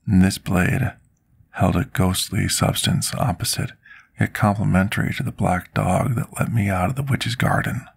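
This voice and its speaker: A man playing a scarred, remorseful potion master in an intimate ASMR roleplay, speaking formally and deliberately like someone confessing his past. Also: low-pitched, fairly even intonation, gravelly, husky, no room sound, exaggerated voice. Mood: sorrowful, confessional. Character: scarred male potion master, devoted, remorseful, soft-spoken servant.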